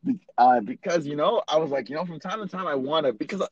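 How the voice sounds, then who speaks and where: A man's voice: a little high, animated, fast talker, muffled recording, over a noisy crowd. A man chatting casually with a friend as podcast co-host, joking, boastful and swearing freely. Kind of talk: casual chat between friends. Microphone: remote call mic.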